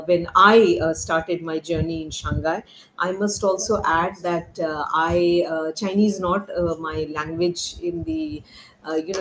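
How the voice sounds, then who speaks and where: A middle-aged woman presents her paper over a video call, her voice low-pitched. She speaks haltingly, restarting phrases and filling pauses. The sound is roomy and echoey, with faint noise behind. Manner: hesitant, many fillers and restarts. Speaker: retired diplomat presenting a seminar paper. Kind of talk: academic talk on a video call.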